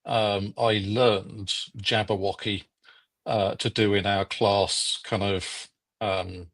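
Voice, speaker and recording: Low gravelly man, unhurried. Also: flat delivery, fairly bright tone. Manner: slow, hesitant, many fillers. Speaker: podcast co-host. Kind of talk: casual chat.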